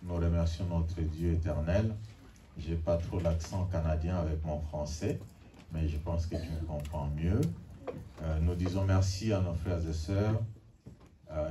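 A man giving formal church announcements and leading call-and-response during a service. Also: deep voice. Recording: distant mic with faint noise.

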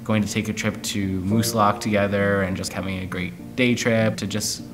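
A man's voice: on the low side, flat delivery, normal speed. A young man, a graduating student, giving rambling casual interview answers full of fillers. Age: young adult.

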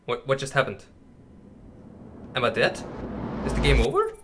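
A man's voice: medium pitch, wildly swooping pitch, normal speed. A man doing casual, nervous commentary over a horror game, chatting to his viewers. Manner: nervous, addresses viewers as bros and mate. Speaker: gaming YouTuber. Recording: clear background noise with game ambience.

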